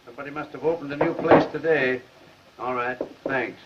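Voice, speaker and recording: Newspaper chief, male, played by an actor: mid-pitched, sardonic, clipped orders, old film. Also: fairly even intonation, brisk, heavy background noise, natural voice. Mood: impatient. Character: stern, sarcastic male newspaper editor, the boss. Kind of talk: 1930s film acting. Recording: muffled 1930s film soundtrack.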